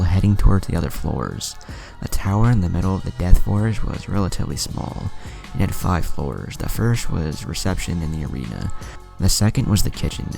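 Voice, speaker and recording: Casting: male narrator for fan fiction, very low, heavy vocal fry, animated intonation.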